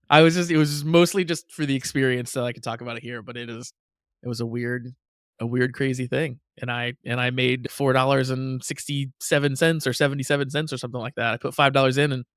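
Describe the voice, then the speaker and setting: Male podcast co-host, mid pitch, self-deprecating and giggly, stammers, dry close mic. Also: steady tone, brisk, fairly bright tone, smooth, dry recording. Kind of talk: casual chat.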